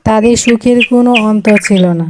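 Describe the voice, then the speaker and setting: A woman's voice: fairly high pitch, steady tone, a little fast, very noisy. A woman narrating a scripted children's fairytale as a storyteller.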